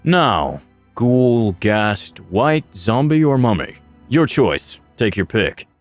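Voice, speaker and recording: A man's voice: medium pitch, big pitch swings, takes it slowly, soft background music. A man voicing an unhinged, gleeful necromancer master in a narrated fantasy story, flippant and menacing, with a theatrical, toying delivery. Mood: giddy menace.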